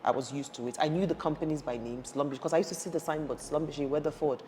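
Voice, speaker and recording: Low-voiced woman telling her career story. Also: racing. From Ghana, raised in Nigeria. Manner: animated storytelling with frequent restarts and fillers. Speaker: guest, energy industry professional. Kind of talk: conversational interview. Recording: reverberant room with faint noise.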